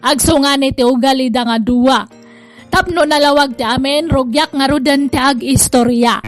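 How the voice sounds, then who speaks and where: High-pitched Ilocano Filipino woman presenting drama. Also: normal speed, faint music behind. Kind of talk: scripted drama introduction and letter reading.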